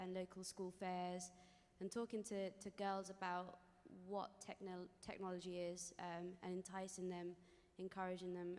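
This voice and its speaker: A woman introducing herself casually on a panel, halting and correcting herself. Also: fairly low pitch, darkish tone. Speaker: agile coach on a panel. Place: conference stage in a large hall. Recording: echoey conference hall PA.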